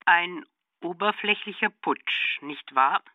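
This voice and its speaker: A woman's voice: lowish voice, slow pace, no echo, narrow, tinny audio. A woman playing a sober, curious interviewer in a radio play, putting short, pointed questions. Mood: probing.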